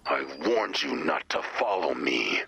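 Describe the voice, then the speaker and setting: A man playing a menacing cloaked loner vigilante in a video game, with a raspy growl and terse, melodramatic, formal lines. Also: extremely low pitch, sing-song, unhurried, sharp tone, no room sound, exaggerated voice. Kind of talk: scripted video game character dialogue.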